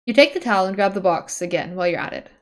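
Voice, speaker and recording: Woman, medium pitch, read-aloud narration, casual streamer, dramatic storybook delivery, offhand remarks. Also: animated, racing. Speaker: streamer reading a webcomic aloud.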